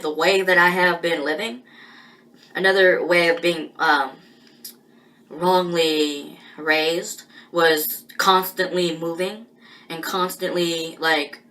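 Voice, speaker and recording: A woman talking through a personal monologue in a halting, rambling way, with many pauses and restarts. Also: fairly low pitch, bright, forceful tone, low-quality recording.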